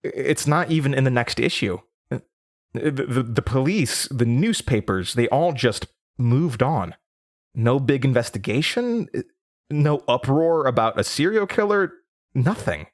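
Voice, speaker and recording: An actor playing an anxious, haunted young man in an audio drama, speaking in a halting, nervous, stammering confessional monologue. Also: mid-range voice, expressive, natural voice. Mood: puzzled, uneasy. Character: anxious, haunted young Midwestern man recalling traumatic memories.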